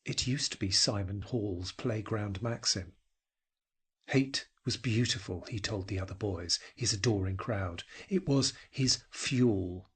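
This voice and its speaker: A man reading a dark short story as a dramatic narrator, in a brooding, theatrical manner that can drop towards a whisper. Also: fairly low pitch, a little slow, husky.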